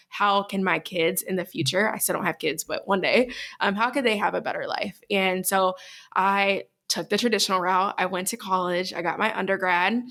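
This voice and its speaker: A woman's voice: mid-range voice, vocal fry, fairly bright tone. A young adult woman from Chicago, a business coach guesting on a podcast, chatting in an upbeat, casual way with lots of fillers. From Chicago, USA. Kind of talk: casual interview talk.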